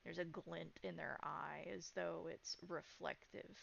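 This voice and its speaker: Woman game master telling a horror scene, lowish gravelly voice, bit of a dull lo-fi sound. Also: steady tone, a little slow, a bit bright. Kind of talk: improvised narration for a tabletop horror game.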